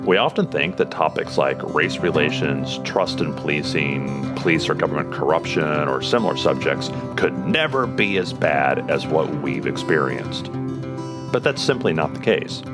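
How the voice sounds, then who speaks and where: A man's voice: extremely low pitch, lively intonation, moderate pace. An American man hosting a podcast as a police chaplain, reading an earnest intro and then interviewing his guest. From United States. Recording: music behind the voice. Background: guitar music.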